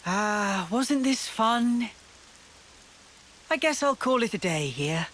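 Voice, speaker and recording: An actor playing a mocking young turncoat villain in a video game cutscene, with sneering, theatrical delivery and drawn-out words. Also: male voice, high voice, lively intonation, a bit bright, slight background noise, full character voice. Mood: smug, playful. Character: gloating, mocking young male villain, turncoat former comrade.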